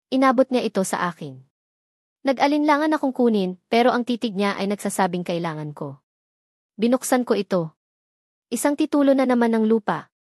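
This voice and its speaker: A woman's voice: fairly high pitch, darkish tone, no room sound, clean studio sound. A woman reading a scripted, dramatic first-person story. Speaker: narrator.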